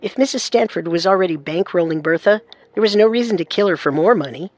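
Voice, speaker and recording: High-voiced man narrating true crime. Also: animated, fast talker, a little mellow, dry recording. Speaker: narrator and co-host.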